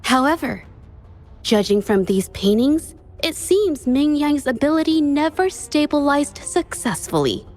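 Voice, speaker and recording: An earnest young painter speaks up with resolve in a video game and stumbles now and then. Her voice is high, and faint music plays under her lines. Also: animated, measured pace, darkish tone. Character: earnest young female painter standing firm.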